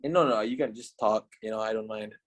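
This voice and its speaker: A man's voice: on the low side, fast. A man in his twenties teaching a casual trading class, swearing, repeating himself and asking "you know?" a lot. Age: 20s. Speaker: trading instructor. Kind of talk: casual online class wrap-up.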